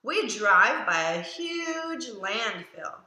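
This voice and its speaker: A woman's voice: a little high, very animated, extremely slow, sharp tone, heavily acted. A woman voicing an eager, curious family dog who narrates a children's picture book with simple, emphatic delivery. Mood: curious, explaining. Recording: roomy echo around the voice.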